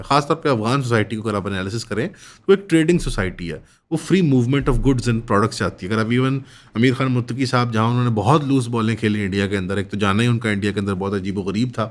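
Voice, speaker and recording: A Pakistani man delivers political commentary in a fairly low voice. He speaks as an analyst, building long, winding sentences. English terms are mixed into his speech throughout.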